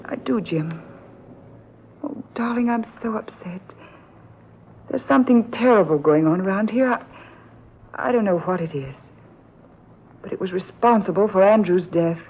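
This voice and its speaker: An actress playing a frightened, distressed young fiancée in an old-time radio drama, speaking hesitantly and urgently. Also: female voice, medium pitch, moderate pace, long pauses, breathy edge. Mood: upset, urgent. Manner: hesitant, urgent, earnest delivery with repeated words. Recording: dull, noisy old radio recording.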